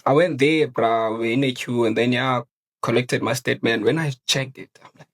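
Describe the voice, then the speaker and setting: A man's voice: mid-range voice, moderate pace. Slangy young South African man. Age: young adult. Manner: relaxed, slangy, says bro a lot. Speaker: podcast co-host. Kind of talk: casual chat.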